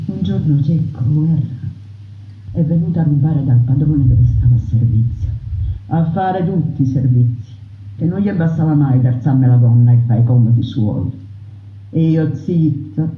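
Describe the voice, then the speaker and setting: A woman performing a theatrical monologue as a defiant peasant brigand woman, speaking with impassioned, declamatory force. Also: low-pitched. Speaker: narrator voicing a brigand woman. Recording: roomy dull radio broadcast.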